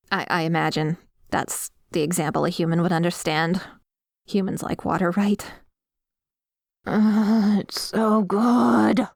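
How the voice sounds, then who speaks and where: A woman playing a dominant, obsessive vampire in a scripted ASMR roleplay, savouring her words with breathy sighs and slips into whispers, commanding and condescending. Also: lowish voice, no room sound, clean studio sound. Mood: hungry, intoxicated. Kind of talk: scripted ASMR roleplay audio, addressing the listener.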